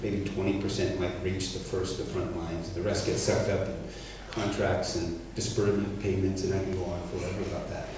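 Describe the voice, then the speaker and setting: A man's voice: sits low, little pitch movement, a little fast, hardly any pauses, a little mellow. A man with a Canadian accent speaking as a guest, answering audience questions in a conversational, explanatory way with hesitant starts. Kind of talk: answering audience questions after a public talk. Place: church hall. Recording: very echoey hall, distant mic, faint noise.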